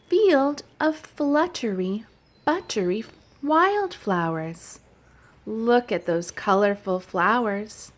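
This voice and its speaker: A woman who teaches young children, chatting and reading aloud gently in simple, unhurried phrases with little explanations. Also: mid-range voice. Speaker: childcare educator leading story and song time for toddlers.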